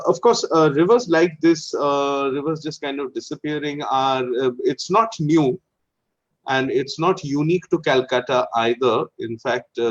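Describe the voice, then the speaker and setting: A man presenting at an online talk on a video call, reflective and hesitant with many fillers. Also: medium pitch. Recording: muffled video call audio.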